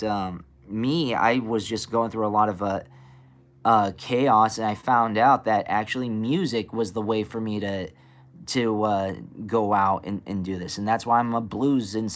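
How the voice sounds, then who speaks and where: Raspy young man, halting talk. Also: fairly low pitch. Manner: halting, many fillers and restarts. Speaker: blues and soul singer. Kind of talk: casual interview talk.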